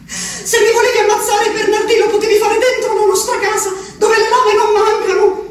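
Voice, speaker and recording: An actress playing a woman locked in an asylum, writing an angry, pleading letter to her husband, speaking quietly at times and shouting at others. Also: very high-pitched, flat delivery, moderate pace, distant mic, a little hiss or hum. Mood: bitter. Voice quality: shifts from quiet reading to shouting. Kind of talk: radio drama acting. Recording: roomy radio broadcast with music, voice a bit off mic.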